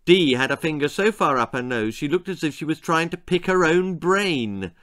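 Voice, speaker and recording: A man reading a scripted children's story as its author, in a dramatic, comic, emphatic manner with pauses. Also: on the high side, animated, fairly bright tone.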